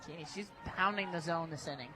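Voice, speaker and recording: A woman working as a radio color analyst, giving informal softball commentary and thinking aloud. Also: fairly low pitch, fast talker, crowd noise. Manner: informal, thinks aloud, repeats phrases for emphasis. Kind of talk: conversational live softball analysis.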